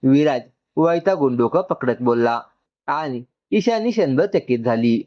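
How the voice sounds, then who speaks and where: A man's voice: medium pitch, animated, measured pace. A man narrating a dramatic, emotional story from a script. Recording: slightly dull, lo-fi audio.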